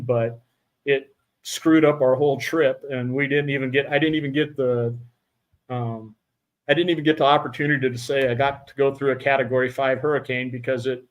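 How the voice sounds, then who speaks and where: A middle-aged man hosting a casual interview podcast, talking in a halting way with many fillers and restarts. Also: medium pitch, rough recording. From Utah. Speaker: podcast host.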